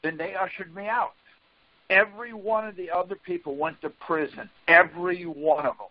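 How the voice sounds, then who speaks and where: A man with a notably high voice argues his points on a group call. He is insistent, repeats himself and cuts in. The audio is dull and lo-fi. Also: moderate pace. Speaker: conference call participant. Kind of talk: argumentative explaining on a group call. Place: group phone conference call.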